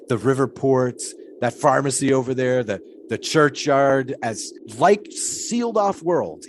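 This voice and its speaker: A grandiose flagpole sitter. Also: male voice, medium pitch, darkish tone, slight background noise. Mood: musing. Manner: grandiose, musing, theatrical. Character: philosophical 1920s male flagpole sitter. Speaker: flagpole sitter being interviewed. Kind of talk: period audio drama acting.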